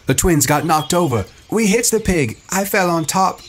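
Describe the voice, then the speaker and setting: A man voicing a proud, excitable boy who leads hunters in a storybook, boastful and eager. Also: mid-range voice, brisk, slight background noise, pitched above the performer's own voice, close to an everyday voice. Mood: boastful, excited.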